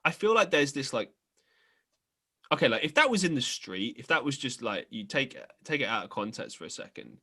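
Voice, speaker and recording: A man hosting a podcast interview, chatting casually in an animated, self-deprecating way. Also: mid-range voice, brisk, slightly rough. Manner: animated, self-deprecating, frequent laughs and restarts. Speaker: podcast host.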